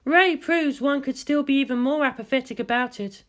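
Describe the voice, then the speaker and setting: Narrator putting on a cool lady scientist, pitched way up, clinical and matter of fact, kinda dry. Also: moderate pace, a bit bright. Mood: cool, measured.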